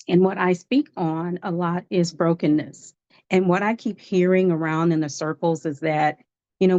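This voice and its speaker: A woman in her fifties with a low voice tells her story as a guest, earnest and testifying, now and then laughing at herself. The sound is dry and a little dull, like a lo-fi online call. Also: a little mellow, no echo. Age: 50s. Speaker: guest life coach. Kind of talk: livestream testimony.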